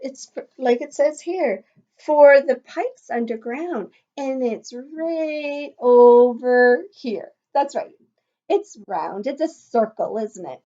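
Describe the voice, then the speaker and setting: High-voiced woman reading slowly to children. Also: a little mellow, highs cut off. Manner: encouraging, sing-song teacher talk addressed to small children. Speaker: teacher reading a picture book to young children. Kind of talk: children's read-aloud with questions and asides to young listeners.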